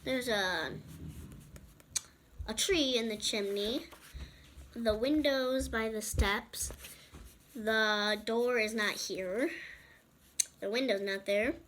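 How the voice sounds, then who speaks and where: A woman's voice: fairly high pitch, slow, pauses often, fairly bright tone, slight background noise. A young girl reading a picture book aloud, breaking off for informal, chatty asides to other children watching. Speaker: storybook reader and channel presenter.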